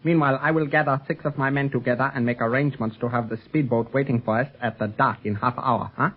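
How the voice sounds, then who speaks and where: A composed, courteous secret police agent, played by a man in an old 1930s radio adventure. He speaks formally and carefully in a slightly rough, medium-pitched male voice. The sound is narrow and tinny. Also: fairly even intonation, lightly acted. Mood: assured, formal. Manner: formal, measured, avoids contractions. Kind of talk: 1930s radio serial acting.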